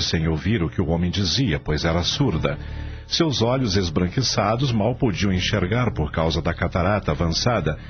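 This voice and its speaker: A man narrating a scripted radio drama as an expressive storyteller. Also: low-pitched. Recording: dull lo-fi audio, faint music.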